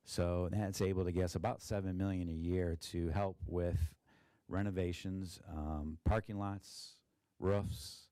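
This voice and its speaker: A man, a school district treasurer, presenting a budget at a board meeting in a monotone with many fillers and restarts, with an Ohio accent. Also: deep voice, no room sound. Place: meeting room.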